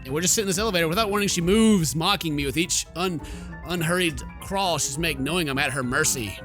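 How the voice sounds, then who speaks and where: A man's voice: a little high, fast talker. A man doing playful, theatrical let's play commentary, exclaiming and reading game text aloud into a close mic. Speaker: gaming video host. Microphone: close microphone at a desk. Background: faint game music.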